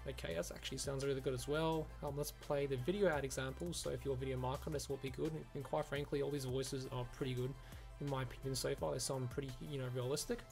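A man giving a casual, rambling software review, often saying "you know" and "like". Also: medium pitch, fairly even intonation, quick pace, vocal fry. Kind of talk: casual screen-recorded review. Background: background music.

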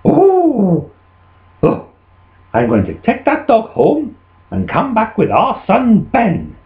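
A man's voice: sits high, wildly swooping pitch, takes it slowly, phone-quality sound, voice pushed up, heavily acted. A man voicing an exasperated, scolding farmer's wife and mother in a children's play.

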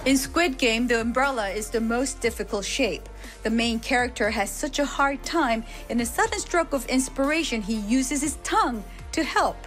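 A woman reporter narrating a scripted news story in a measured way. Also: on the high side, a bit bright, faint music behind.

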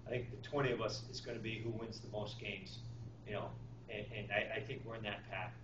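A middle-aged man, a hockey coach, answering reporters after a game, halting with fillers and restarts. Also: lowish voice, steady tone. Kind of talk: postgame press conference answers. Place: small press room with draped table. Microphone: far camera. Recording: echoey room, far mic, dull lo-fi, noisy.

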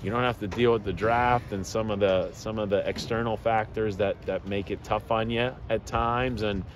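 A man in his fifties with a very low voice gives a measured postgame interview at a ballpark. He talks plainly, circles back with fillers and restarts, and steady outdoor noise hums behind him. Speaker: head baseball coach.

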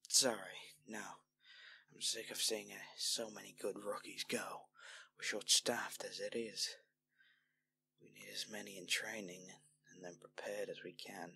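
A man playing a weary, firm but kindly army general with measured authority in a scripted roleplay audio drama. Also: fairly low pitch, flat delivery, vocal fry, sharp tone, exaggerated voice. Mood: brisk, authoritative. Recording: noisy home mic with hum.

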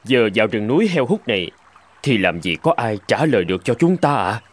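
A man acting earnest men in an animated moral fable, with a theatrical, declamatory delivery. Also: medium pitch, brisk. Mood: solemn. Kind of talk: animated folk tale dub. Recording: music behind the voice.

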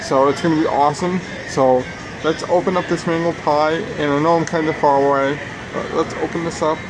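Higher-voiced man vlogging in outdoor noise. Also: fairly even intonation, fairly quick. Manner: enthusiastic, talking between bites. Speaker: food review vlogger. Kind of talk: casual food review to camera. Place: outdoors at a theme park table. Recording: noisy outdoor recording with background hum.

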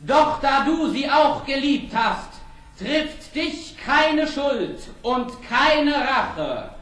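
A bold young prince from a storybook record. His voice sits high and swings widely in pitch. He declaims slowly and ardently, with theatrical pride. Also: expressive, fairly bright tone, heavily acted, low-quality recording. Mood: proud, declaiming. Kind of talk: German audio fairy-tale drama acting.

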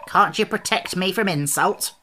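Woman doing a gruff wooden horse from a storybook, low n growly, gets huffy easy, sharp edge. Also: moderate pace, slight background noise. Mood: angry. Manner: growling, indignant. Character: gruff, touchy living wooden sawhorse, male, easily offended. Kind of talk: audiobook character voice.

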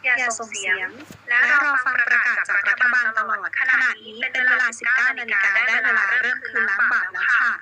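A woman's voice: a little high, a little fast, lightly acted. A woman playing a composed television announcer, giving a polite, formal scripted broadcast in an analog horror drama. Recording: very noisy old broadcast sound.

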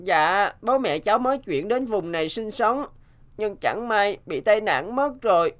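A woman voicing a gentle, earnest young boy, a protective older brother, in a cartoon dub. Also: medium pitch, expressive, unhurried, close to an everyday voice. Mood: pleading, worried. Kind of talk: dubbed cartoon dialogue.

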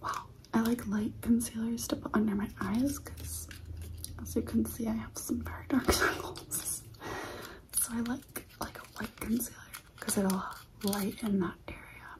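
A woman in her twenties whispering in a soft, breathy, unhurried ASMR style, close to the mic in a living room. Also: a little high, fairly even intonation, poor audio. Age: 20s. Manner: soft, unhurried, repeats words slowly. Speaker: ASMR video creator.

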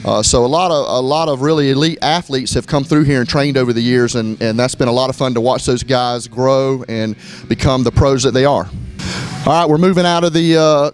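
A middle-aged man from Florida, a gym owner giving a casual walkthrough of his gym, talking in a relaxed way with lots of fillers and restarts. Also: mid-range voice, fairly quick. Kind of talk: walkthrough tour, casual narration. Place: gym indoors, moving through rooms.

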